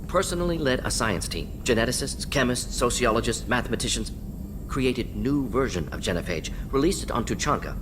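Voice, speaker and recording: Game alien scientist, male actor: brisk, certain, telegraphic delivery, animated mid-range pitch, noisy ambience behind. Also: natural voice. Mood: firm, defensive. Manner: clipped telegraphic sentences, dropping small words. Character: brisk, self-assured male alien scientist defending grim work. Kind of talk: video game dialogue.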